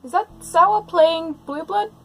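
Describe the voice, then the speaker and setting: A woman's voice: very high voice, takes it slowly. A woman giving live, unscripted reaction commentary, exclaiming and gushing as she narrates what is happening. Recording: music playing behind the commentary.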